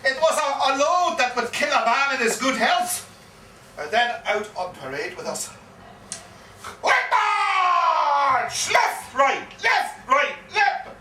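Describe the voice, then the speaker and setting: A man's voice: extremely high pitch, sing-song, slow pace, full character voice. A man on stage playing a garrulous old soldier with an Irish accent, telling a war yarn with theatrical repetition. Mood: dramatic, boastful. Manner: theatrical, dramatic storytelling with repeated phrases. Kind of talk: live stage performance of a comic one-act play. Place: live performance in a pub. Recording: echoey, distant live pub recording, faint noise.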